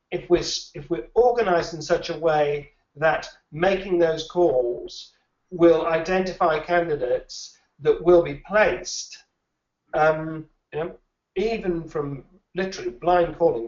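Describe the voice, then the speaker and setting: A man, a recruitment trainer, answers questions at an easy pace and circles back on his words as he thinks. His voice sits a little high for a man. It is recorded from a distance in an echoey room, with a dull, muffled sound. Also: darkish tone. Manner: thoughtful, repeats words while thinking. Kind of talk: conversational interview answers.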